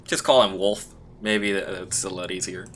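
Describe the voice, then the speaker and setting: A man's voice: deep voice, fairly even intonation, unhurried, crowd noise. A man acting as game master, chatting casually and answering players in a laid-back, rambling way. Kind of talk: casual chat running a tabletop game.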